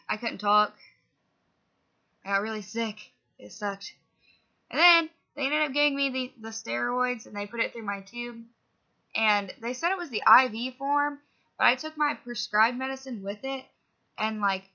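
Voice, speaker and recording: Sniffly young woman with a creaky voice. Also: fairly high pitch, measured pace, bright, forceful tone. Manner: rambling, lots of fillers and asides. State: sniffly from a cold. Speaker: vlogger. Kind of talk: casual update chat to camera. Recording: dull lo-fi audio.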